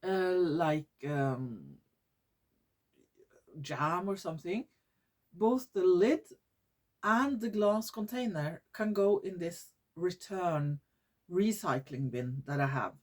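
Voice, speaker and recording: A woman from Norway with a Norwegian accent, hosting her own vlog in English, which is not her first language, often pausing to search for words and restarting her sentences. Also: fairly low pitch, darkish tone. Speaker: YouTube channel host. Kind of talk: casual vlog monologue.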